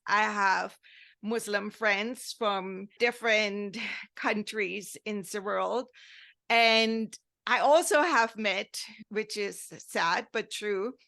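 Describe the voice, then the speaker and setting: A woman's voice: mid-range voice, gravelly, bright, forceful tone. A woman from Germany with a German accent, telling a story in earnest, rambling podcast talk and often checking in with "right?". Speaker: podcast host.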